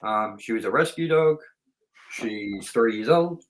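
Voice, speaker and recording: A man's voice: lowish voice, lively intonation, a little slow, low-quality recording. Chatty middle-aged man hosting casually. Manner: chatty, rambling, anecdotal. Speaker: host of a film review show. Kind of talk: casual unscripted review chat.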